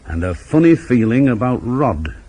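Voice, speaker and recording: An actor playing a shrewd, dogged police inspector in a radio crime drama, with a terse, probing, ruminative manner. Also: male voice, mid-range voice, sing-song, moderate pace, dark tone, breathy edge. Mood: brooding, decisive.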